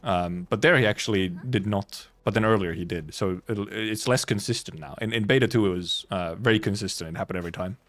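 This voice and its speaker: A man's voice: fairly low pitch, fast, hardly any pauses, fairly bright tone. A man doing casual live game commentary as a streamer, rambling and correcting himself as he goes. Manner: rambling, loosely self-correcting, casual swearing.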